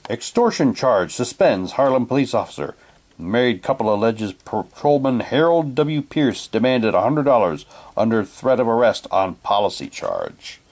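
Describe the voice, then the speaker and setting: A man's voice: mid-range voice, darkish tone. A man casually reading printed material aloud and commenting on it, with offhand muttered asides. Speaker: unboxing presenter.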